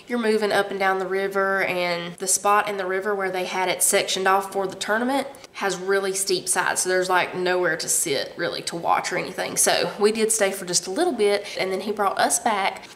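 A woman's voice: medium pitch, steady tone, a little fast, few pauses, a bit bright, slight background noise. A woman, chatting casually to camera in her living room, rambling and laughing as she goes. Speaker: family vlogger. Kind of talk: casual vlog chat.

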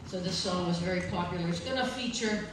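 An older woman leads a choir and talks the audience through the program in a casual, chatty way. She is heard from the pews of a large church, far from the camera, with heavy echo and steady background noise. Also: fairly low pitch, fast. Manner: chatty, informal, self-deprecating. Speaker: choir director. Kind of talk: announcing songs at a concert. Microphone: far phone camera in the pews. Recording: echoey hall from far off, noisy.

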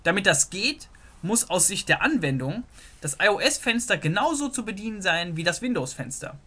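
A man walks through a software diagram as he draws it, thinking aloud with frequent filler words. His voice sits a little high and sounds bright and forward. He is recorded close on a desktop microphone. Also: sing-song, normal speed. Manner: thinks aloud while sketching, many "so" fillers. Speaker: programming tutor. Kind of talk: screen-recorded whiteboard lesson.

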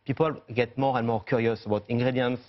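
A man, a chef and restaurant owner, answering questions in a TV news studio, pausing often with fillers. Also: mid-range voice, steady tone, highs cut off. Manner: hesitant, many fillers and restarts. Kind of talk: studio news interview guest.

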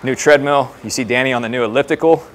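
A man's voice: mid-range voice, fast talker. A man in his thirties talking casually and explaining things as a trainer showing colleagues around a facility. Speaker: fire department fitness trainer giving a facility tour. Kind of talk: informal walk-through presentation to colleagues. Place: indoor gym with hard floors. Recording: clear background noise of a gym.

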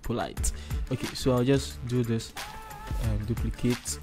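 A man's voice: mid-range voice, steady tone, a little slow. A man from Ghana with a Ghanaian accent hosting a casual tutorial, chatty and rambling as he thinks aloud while working. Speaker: tutorial host. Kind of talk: casual screen-recorded software tutorial. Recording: music playing behind the voice. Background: music.

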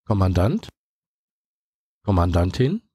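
A man playing a calm, dutiful ship's computer in a science fiction audio drama, speaking in formal, measured announcements. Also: low voice, breathy edge, dry recording, studio quality, heavily acted.

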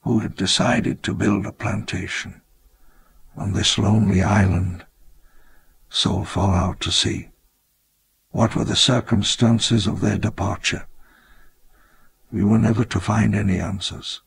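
An actor playing a wistful elderly park founder, narrating his memoir in measured, reflective storytelling. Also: on the low side, flat delivery, creaky, breathy edge, dry recording, full character voice. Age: older. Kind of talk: scripted memoir narration in a game.

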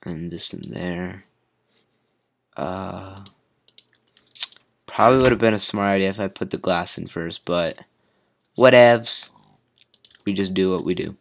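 A deep-voiced teenage boy, hoarse from a cold, drawls slowly through a relaxed gameplay commentary. He rambles and fills pauses with ums and uhs, with faint keyboard clicks underneath. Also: slight background noise. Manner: rambling, lots of fillers. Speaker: gamer.

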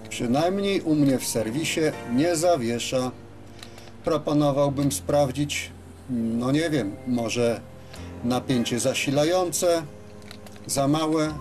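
A man gives an unscripted workshop demonstration as a repair technician, explaining step by step with hesitant pauses. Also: a little high, darkish tone. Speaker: radio repair technician presenting his work. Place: radio service workshop, indoors at a bench.

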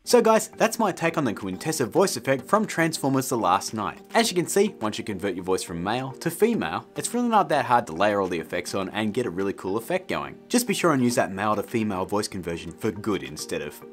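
Lively man presenting a tutorial. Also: fairly low pitch, quick pace, few pauses. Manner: informal, addresses viewers as guys. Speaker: host of audio effect tutorials. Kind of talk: casual tutorial narration. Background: background music.

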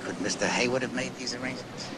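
A man's voice: mid-range voice, fairly even intonation, fast, close to an everyday voice. An actor playing a polite, doggedly curious police lieutenant in an audio drama, hesitant and unassuming in his questioning. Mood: quietly persistent. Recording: loud street and vehicle noise behind.

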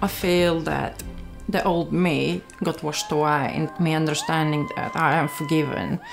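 A woman in her thirties with a Latvian accent, giving a candid, halting personal testimony. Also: deep voice, huge pitch swings, creaky. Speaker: church member giving testimony. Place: small living room. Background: background music.

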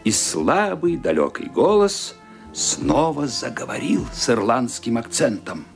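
Male narrator, dramatic, animated, music bed. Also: mid-range voice, measured pace, gravelly. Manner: expressive, dramatic, suspenseful. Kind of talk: scripted radio play narration.

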